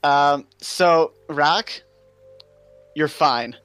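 A man running a tabletop game as game master, narrating scenes in a drawn-out way and laughing often with his players. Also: fairly high pitch, fairly bright tone, smooth, no echo, soft background music.

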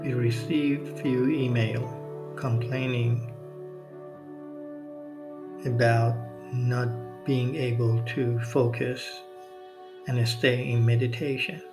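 A man hosting a guided meditation podcast, speaking non-native English in short, measured phrases with long pauses. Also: mid-range voice, flat delivery. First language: not English. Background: music.

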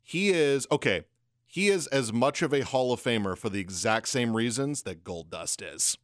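A man's voice: medium pitch, animated, moderate pace, no room sound, clean studio sound. A man co-hosting a wrestling podcast in casual chat, rambling through trivia in a wry tone. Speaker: podcast co-host. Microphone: studio microphone.

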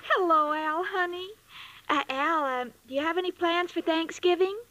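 A woman's voice: extremely high pitch, normal speed, bright, forceful tone, no room sound, lo-fi sound. An actress playing a sweet, scatterbrained young woman with a naive, guileless way of talking, in old-time radio comedy. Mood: sweet, doting. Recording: slightly noisy vintage radio recording.

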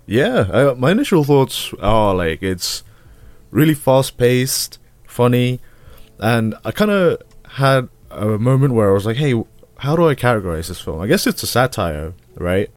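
Man, relaxed, agreeable, dry close recording, film podcast co-host. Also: mid-range voice, measured pace, no echo. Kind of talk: casual film review chat.

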